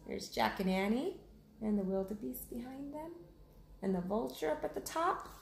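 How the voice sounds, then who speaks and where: A woman's voice: medium pitch, pushed and bright, a little hiss or hum. A woman hosting a read-aloud, narrating a children's storybook expressively. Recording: echoey room.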